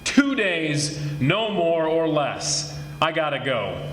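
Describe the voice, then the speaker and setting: A man playing a suspicious, sardonic police detective with a soft spot, in 1940s radio mystery style. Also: on the high side, big pitch swings, takes it slowly, full character voice. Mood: grudgingly fond. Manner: pushy, sardonic. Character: suspicious, gruff but fond male police detective. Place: live stage radio theatre performance. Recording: echoey stage, faint background noise.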